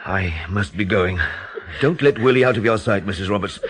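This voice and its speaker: An actor with a Welsh accent playing a weary, frustrated country doctor, grave and earnest, in a radio drama. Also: male voice, fairly low pitch, moderate pace, slight background noise, close to an everyday voice. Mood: grave, helpless.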